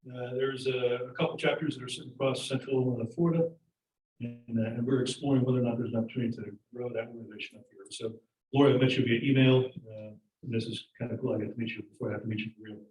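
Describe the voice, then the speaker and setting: Fast-talking man in an echoey hall. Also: mid-range voice, steady tone, darkish tone, a little hiss or hum. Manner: brisk self-introduction. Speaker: veteran business owner. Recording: echoey meeting room, far from mic.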